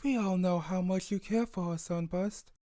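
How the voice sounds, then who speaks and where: A man's voice: high voice, moderate pace, warm tone, dry recording, studio quality, pitched above the performer's own voice, lightly acted. A man voicing a kindly, reassuring female pony friend in a storybook reading, earnest and affectionate. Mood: warm, teasing.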